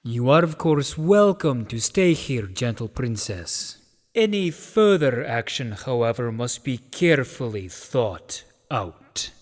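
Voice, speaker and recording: A man's voice: mid-range voice, sing-song, takes it slowly. A man playing an aging, courtly pony king in a fan radio drama, speaking in a stately, theatrical and formal way. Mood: grave concern. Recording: long reverberant hall.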